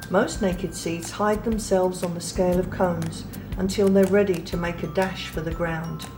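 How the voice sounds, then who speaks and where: A woman reading a children's picture book aloud in a gentle, unhurried way, pausing softly between phrases. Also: medium pitch. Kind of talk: read-aloud storybook narration. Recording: read over clear background music.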